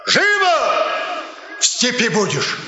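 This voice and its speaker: An actor playing a hard-nosed tavern keeper who talks in a curt, mocking, folksy way in a radio drama. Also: male voice, extremely high pitch, wildly swooping pitch, takes it slowly, shouting, full character voice. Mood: irritated. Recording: muffled, echoey, noisy radio recording.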